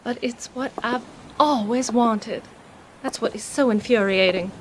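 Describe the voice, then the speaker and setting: An actress playing a conflicted but determined tycoon's daughter in an audio drama, earnest and exasperated. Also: female voice, on the high side, measured pace, a little hiss or hum, close to an everyday voice, poor audio. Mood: troubled.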